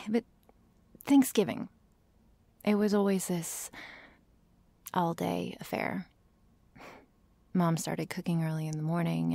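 A woman playing a prickly, teasing vampire girl who feigns indifference, speaking softly in an intimate ASMR roleplay. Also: lowish voice, vocal fry, no echo. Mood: wistful, reluctant. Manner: sardonic, hesitant, feigning indifference.

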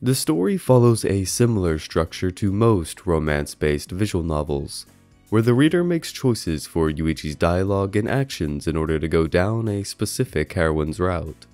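Male essay narrator, low and soft-toned, scripted and measured delivery, faint music behind. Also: big pitch swings, no echo. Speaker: video essay narrator and reviewer. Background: background music.

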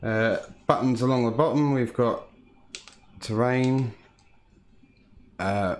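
A man from the United Kingdom, a home cockpit builder, calmly walking through his hobby build with frequent "uh" fillers. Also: fairly low pitch, slight background noise. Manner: calm, unhurried, frequent "uh" fillers. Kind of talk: casual hobby walkthrough.

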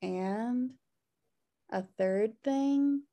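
A woman's voice: fairly high pitch, drawn-out words, dark tone. A woman reading the part of a sardonic, wisecracking slacker sister in a sitcom table read over a video call. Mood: sheepish. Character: flippant, sardonic slacker sister, adult twin. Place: indoor room at home on video call.

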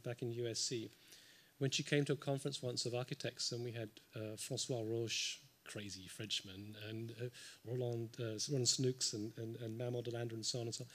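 A man's voice: medium pitch, a bit bright. A man from the United Kingdom, giving an unscripted panel response in a large lecture hall into a table microphone, thoughtful with frequent ums and dry asides. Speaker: symposium panelist.

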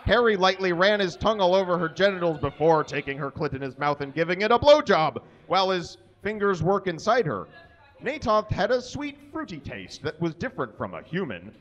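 A man in his thirties giving a comic live reading of fan fiction on a small stage, deliberately tripping over the mistakes in the text. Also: sits high, big pitch swings. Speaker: live reader. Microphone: stand microphone on stage, filmed from a distance. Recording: dull, muffled live stage recording.

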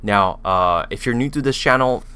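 A man's voice: mid-range voice, moderate pace, sharp tone, clean tone. A man in his thirties with a French accent, a native French speaker, reviewing a language program in a casual, hesitant way, full of fillers and restarts, recorded on a studio mic in a small room. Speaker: language learning YouTuber. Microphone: studio mic with pop filter on boom arm.

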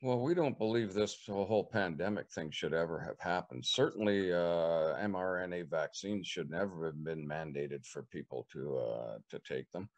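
An older man with a Canadian accent, answering interview questions on a video call from a living room, measured and repeating words as he thinks. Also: low voice. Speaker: political candidate. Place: living room with leather couch. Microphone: webcam on video call.

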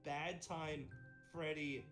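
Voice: medium pitch, fairly even intonation, drawn-out words, music in the background. A performer playing an easygoing, eager and slightly anxious security guard with a hesitant manner in a game roleplay. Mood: musing. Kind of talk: improvised game roleplay acting.

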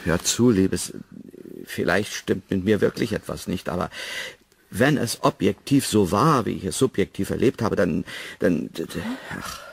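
An actor playing a troubled, irritable male scientist in a science fiction radio play, gruff and sarcastic. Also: low-pitched, a little slow, dry recording, exaggerated voice. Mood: troubled, unsure.

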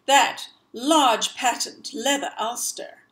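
A woman's voice: fairly high pitch, takes it slowly, fairly bright tone. A woman voicing a pompous, old-fashioned python snake who speaks formally. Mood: lofty warning.

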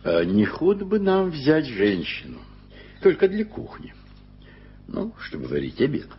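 A man's voice: on the high side, wildly swooping pitch, unhurried, slight background noise. An actor playing a flustered older bachelor who blusters and stumbles over his words in a radio play. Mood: awkward. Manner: blustering, then awkward and hesitant. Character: Peter Hope, a flustered, fussy older bachelor. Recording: dull vintage radio recording with music.

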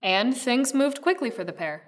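A woman playing an arch, knowing narrator, reading scripted radio-play narration in a literary, deliberate manner. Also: a little high, lively intonation, natural voice. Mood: wry.

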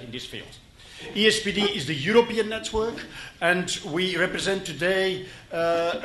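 A man's voice: a little high, fairly bright tone, slight background noise. Flemish-accented man speaking in an echoey hall. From Belgium. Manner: halting, many fillers and restarts. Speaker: conference speaker. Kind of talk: conference presentation. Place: conference hall. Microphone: podium microphone.

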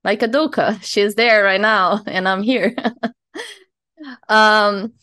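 A woman's voice: medium pitch, fairly even intonation, slow pace, pushed and bright, clear, resonant tone. A woman in her thirties from Brazil with a Brazilian Portuguese accent, chatting in a friendly way as a hypnotherapist introducing herself on a video call, laughing easily and making small slips in English. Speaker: hypnotherapist host. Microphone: headphones on a video call.

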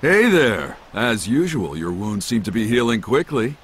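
A man voicing a gruff older ninja mentor in an anime game, husky, frank and authoritative. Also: medium pitch, sing-song, measured pace. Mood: hearty. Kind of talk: English anime game voice acting.

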